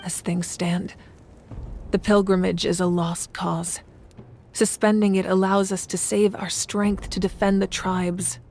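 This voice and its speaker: A woman playing a composed, commanding leader of a nation in an anime video game, measured, dignified and resolute. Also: mid-range voice, flat delivery, a little mellow, a little hiss or hum, lightly acted. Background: game music.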